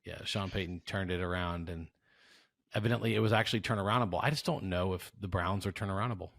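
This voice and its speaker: A man's voice: sits low, fast, creaky, a bit bright, no room sound, studio quality. An American man hosting a podcast, chatting casually and jokingly as he interviews a guest. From South Louisiana, United States. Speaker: podcast host.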